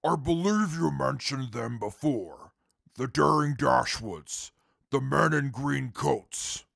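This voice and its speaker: A stern, disdainful companion who takes charge, acted by a man. The delivery is slow, curt and deadpan, deeply creaky and rough, in a dry audio-drama recording. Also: no echo, heavily acted. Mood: businesslike. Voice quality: very gravelly, husky. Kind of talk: comic post-apocalyptic audio drama acting.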